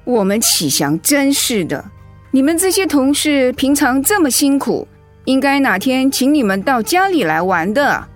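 A woman's voice: sits high, sing-song, a little slow, faint music behind. A woman acting a protective middle-aged mother-in-law in a radio drama, outspoken and motherly. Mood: breezy, polite. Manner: indignant, emphatic when provoked, motherly.

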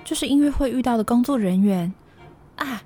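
A woman's voice: fairly high pitch, brisk, warm tone, husky. A woman playing a level-headed, practical young housemate in an audio drama. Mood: thoughtful.

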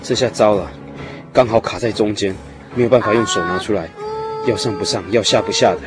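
A man playing a patient, steady father of small children in a radio drama, coaxing and explaining. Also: lowish voice, brisk, music in the background, lightly acted. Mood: concerned.

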